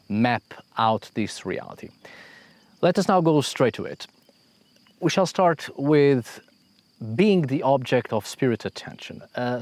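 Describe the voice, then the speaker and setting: A man's voice: medium pitch, dry recording. Thoughtful Portuguese-accented man, dry sound. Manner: soft-spoken, thoughtful, many pauses and fillers, small laughs. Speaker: host of a folk magic channel. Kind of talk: reflective spoken talk.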